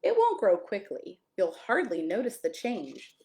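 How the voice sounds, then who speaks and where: A woman's voice: mid-range voice, wildly swooping pitch, measured pace, warm tone. A woman reading a picture book aloud to young children, expressively, with friendly asides, questions and exclamations. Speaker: pastor reading a children's story.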